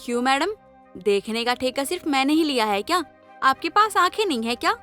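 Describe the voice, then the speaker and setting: An outspoken young wife in an audio story drama, acted by a woman. Her high voice swings expressively up and down, over background music. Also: lively intonation, fairly quick, sharp tone. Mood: snappy. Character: outspoken, feisty young wife quick to complain. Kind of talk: Hindi moral-story audio drama acting.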